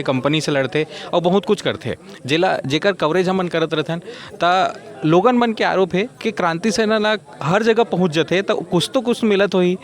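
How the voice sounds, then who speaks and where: A man with a Chhattisgarhi accent conducting a field news interview as a reporter in colloquial speech. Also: on the high side.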